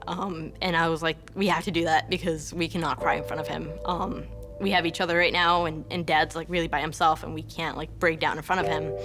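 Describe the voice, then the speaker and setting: A woman in her twenties speaks informally, leaning on "you know" and "like" as she talks. Her voice sits a little low, and music plays clearly in the background. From Colombia, raised in New York. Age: 20s. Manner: casual, lots of "you know" and "like". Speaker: daughter interviewed in a documentary. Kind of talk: documentary interview.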